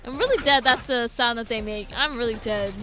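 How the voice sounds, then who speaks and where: A woman's voice: fairly high pitch, a little fast, pushed and bright, soft background music. A woman casually commentating on a game as she streams, joking in slangy, reactive remarks.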